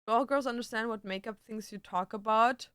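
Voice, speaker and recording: A woman's voice: fairly high pitch, brisk, a bit bright, clear, resonant tone, dry recording, studio quality. A woman livestreamer chatting casually and answering viewers, with a slangy, blunt and playfully sweary way of talking. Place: indoor home streaming setup.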